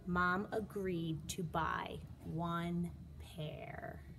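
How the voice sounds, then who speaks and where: Lady teacher reading a kids book, lowish voice, slow and dramatic, bit of background noise. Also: fairly even intonation, fairly bright tone. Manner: dramatic, emphatic storytelling for children. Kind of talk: expressive children's storybook read-aloud.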